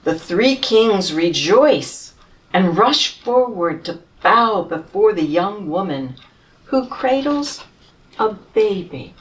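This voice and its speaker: A woman reading a children's storybook in the voice of a humble, tired male camel who tells his own tale slowly and tenderly. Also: medium pitch, distant mic, low-quality recording. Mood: weary. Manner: slow, tender storybook telling with lively rises.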